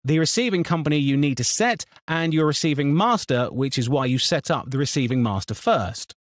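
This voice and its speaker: A man narrating a scripted software tutorial in an instructional, step-by-step manner. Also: fairly high pitch, animated, brisk, no room sound, clean studio sound.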